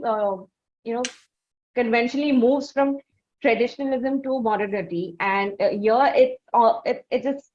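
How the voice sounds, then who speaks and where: A woman with an Indian accent hosting an interview, putting long, winding, halting questions in a conversational way, on a lo-fi recording. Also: fairly high pitch, a little mellow. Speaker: interviewer, host. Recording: dull, lo-fi audio.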